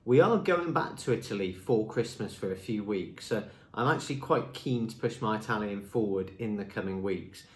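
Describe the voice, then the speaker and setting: A man's voice: fairly low pitch, lively intonation, moderate pace, few pauses, roomy sound, slight background noise. A young man and native English speaker, chatting in an upbeat way as he presents a talking-head vlog. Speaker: language school marketing presenter. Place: indoor room with a screen behind him.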